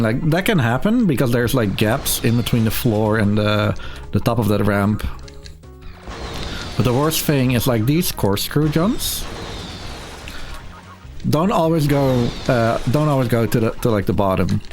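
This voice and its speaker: A man commentates casually on his own speedrun for a live stream. His pitch is average, and faint game music plays behind him.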